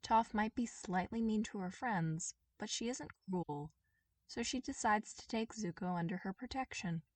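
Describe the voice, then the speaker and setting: A woman reading a story aloud as an amateur audiobook narrator, in an expressive style. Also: mid-range voice, normal speed, dry recording, recorded from a distance.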